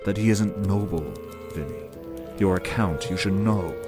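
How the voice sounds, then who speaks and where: An actor playing an earnest, righteous royal commander in an animated fantasy drama, formal and resolute. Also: male voice, low voice, a little slow, over music. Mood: stern, defensive.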